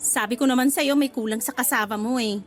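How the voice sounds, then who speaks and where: A woman's voice: a little high, fairly quick, faint music behind, natural voice. A woman playing a jealous, smitten young market vendor in a Filipino radio drama, sassy, defensive and giggly. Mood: flustered, defensive.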